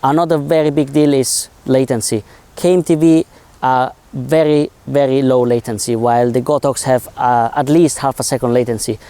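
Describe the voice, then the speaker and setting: A man's voice: mid-range voice, steady tone, normal speed, warm tone, clear, resonant tone. A man giving a casual, informal technical explanation as a co-reviewer of film gear.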